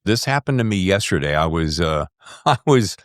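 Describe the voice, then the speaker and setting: A deep, bassy American man with a lively, swinging intonation hosts a podcast. He speaks effusively and emphatically, with a jokey, casual touch. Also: moderate pace. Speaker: podcast host. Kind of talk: enthusiastic podcast intro and casual interview chat.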